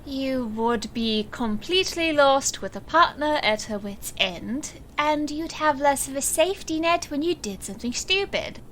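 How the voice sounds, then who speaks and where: A woman's voice: high-pitched, animated, measured pace, few pauses, a bit bright. A woman playing a weary, sardonic stage magician and capable assistant in an audio drama, with dry delivery. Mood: exasperated. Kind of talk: audio drama character acting. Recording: faint noise.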